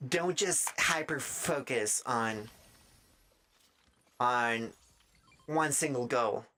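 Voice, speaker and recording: Streamer, mid-range androgynous voice, raspy and dry-throated, bright edge, slow, halting casual chat. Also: mid-range voice. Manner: halting, self-interrupting, trailing off mid-thought. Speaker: live streamer. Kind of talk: casual rambling chat while gaming, giving art advice.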